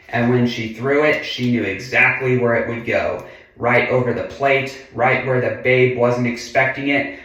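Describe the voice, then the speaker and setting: A man reading a children's book aloud in a deliberate way, adding casual, chatty asides and murmured mm-hmms. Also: medium pitch, pushed and bright. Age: adult. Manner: slow, deliberate delivery with frequent murmured mm-hmms. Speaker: reader of a children's picture book. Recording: very echoey room, far from the mic.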